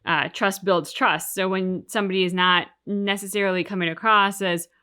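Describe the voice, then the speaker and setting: A woman hosting a reaction show, discussing things casually and analytically in measured, articulate phrases. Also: medium pitch, fairly even intonation, pushed and bright, smooth. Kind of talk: casual analytical discussion of video game story.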